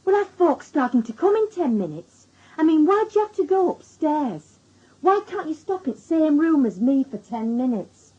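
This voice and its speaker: A woman's voice: sits high, sing-song, moderate pace, dark tone. An actress playing a harried, nagging middle-aged wife and mother with a Northern English accent in a radio drama. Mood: exasperated, scolding. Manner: nagging, exasperated, repeats questions. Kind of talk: naturalistic radio drama acting. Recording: slightly dull, lo-fi radio recording.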